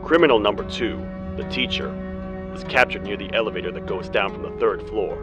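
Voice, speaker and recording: Man voicing a low, stern toad sheriff. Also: expressive, fairly quick, muffled recording, music in the background, close to an everyday voice. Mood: solemn, matter-of-fact. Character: stern, weary male cartoon toad sheriff. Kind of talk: video game character acting, log narration.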